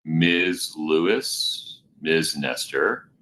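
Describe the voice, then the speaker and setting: A man presiding as judge at a court hearing, asking measured, deliberate questions. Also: extremely low pitch, fairly bright tone, slight background noise, low-quality recording.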